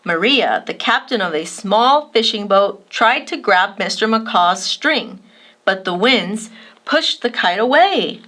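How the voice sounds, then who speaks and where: Casting: female storybook host, bright and slow-paced, expressive read-aloud for kids. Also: mid-range voice. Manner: expressive, addresses young listeners directly. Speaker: children's storybook reader and host. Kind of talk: animated read-aloud narration for children.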